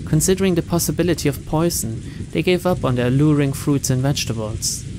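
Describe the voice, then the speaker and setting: A man reading visual novel text aloud in a steady, even narration. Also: on the high side, quick pace, background noise. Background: game music.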